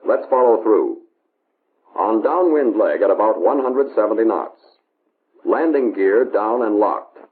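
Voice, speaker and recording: American male narrator, vintage 1950s training film: lowish voice, lively inflection, measured authority, narrow tinny soundtrack. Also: slight background noise.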